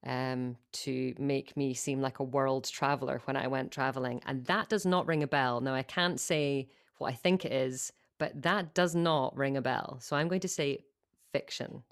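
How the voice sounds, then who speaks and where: A woman co-hosts a relaxed show with a notably low voice. She links segments briskly and pokes fun at herself, keeping things light. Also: clean tone. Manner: self-mocking, brisk links between segments. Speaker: co-host. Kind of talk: casual chat.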